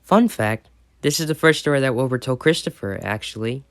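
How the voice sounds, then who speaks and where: A man's voice: medium pitch, expressive, a little fast, no room sound. A man with an American accent reading a bedtime story and chatting about it as he goes. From United States. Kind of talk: storytelling and chat.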